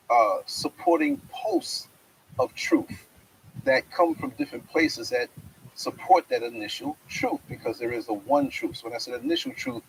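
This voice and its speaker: Man with gravelly, swinging pitch. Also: a little hiss or hum, rough recording. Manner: wide swings in pitch, reflective and preacherly. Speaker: host. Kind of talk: spiritual monologue to camera. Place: outdoors in a fenced yard.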